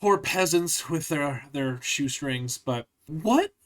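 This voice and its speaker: A man hosting a casual interview, chatting in an animated, opinionated way and poking fun at himself. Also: on the high side, slow pace. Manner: animated, opinionated, self-deprecating. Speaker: podcast host.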